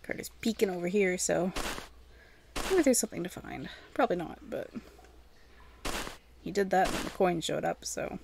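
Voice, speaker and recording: A woman's voice: on the low side, moderate pace, gravelly, pauses often, noisy background. A woman giving casual, rambling gameplay commentary, chatty and self-deprecating, talking to the game's characters as she plays. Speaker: gaming video host.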